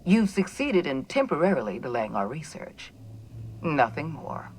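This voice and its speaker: A cold, imperious corporate villainess from a video game. Her low voice is measured and condescending, with expressive swings in intonation. Faint background noise sits behind her. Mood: cold disdain. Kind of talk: dramatic video game dialogue.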